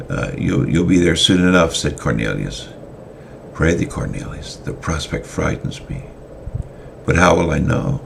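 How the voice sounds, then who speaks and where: A man giving a semi-dramatic reading of his own story, halting now and then with fillers and restarts. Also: extremely low pitch, creaky, slight background noise.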